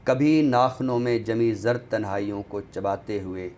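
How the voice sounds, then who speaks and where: A man reciting poetry on a literary show in a deliberate, expressive way, returning to refrains. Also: on the low side. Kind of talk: dramatic Urdu poetry recitation, then book talk. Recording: clearly noisy background ambience.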